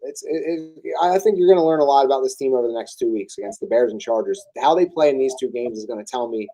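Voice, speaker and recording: A man's voice: mid-range voice, fast talker, dark tone, rough recording. A young man co-hosting a sports podcast, talking casually and loosely, often stalling on fillers and restarting his sentences. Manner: hesitant, many restarts and fillers. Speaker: podcast co-host.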